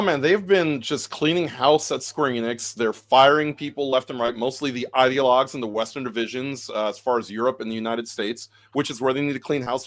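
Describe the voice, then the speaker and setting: A man's voice: medium pitch, a bit bright. A man who speaks as a podcast guest and gives blunt, opinionated commentary. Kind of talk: casual panel chat.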